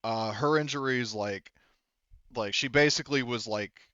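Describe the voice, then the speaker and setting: A man's voice: medium pitch, unhurried, sharp tone, no echo, lo-fi sound. A man narrating a tabletop game as game master, casual and improvised, swearing freely. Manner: informal, frequent swearing.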